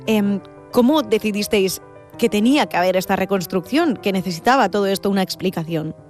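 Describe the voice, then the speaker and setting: A woman conducting an interview, asking measured questions with occasional fillers. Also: mid-range voice, darkish tone, faint music behind.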